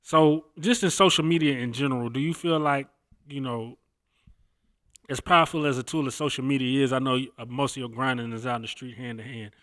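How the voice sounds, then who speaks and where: A man's voice: mid-range voice, fairly even intonation, fairly quick, a bit bright. A man interviewing a guest in a casual, conversational way, with some slang.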